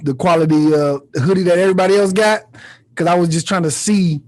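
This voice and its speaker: A man's voice: sits high, fairly even intonation, brisk, a little mellow. A man hosting a podcast in casual chat, very informal and slangy, swearing freely. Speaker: podcast host.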